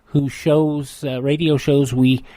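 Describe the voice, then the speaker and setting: A man's voice: mid-range voice, little pitch movement, slow, warm tone, dry recording. An older American man hosting radio, reading history items in a narrating style with chatty personal asides. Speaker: radio host. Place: radio studio.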